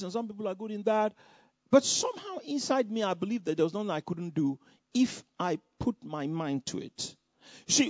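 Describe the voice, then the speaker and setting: Nigerian preacher guy telling his testimony, kinda high voice, dull lo-fi sound. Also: no room sound. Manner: animated storytelling with repetitions and laughter. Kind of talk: sermon testimony.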